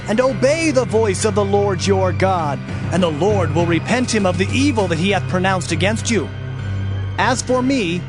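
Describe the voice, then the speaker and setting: An earnest prophet declaims warnings in a high male voice. Prominent music runs behind this dramatized scripture reading. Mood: earnest pleading.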